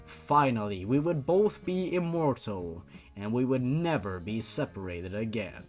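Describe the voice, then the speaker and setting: A man's voice: mid-range voice, very animated, unhurried, a little mellow. Male fan fiction narrator: dramatic read, very varied intonation, faint music underneath.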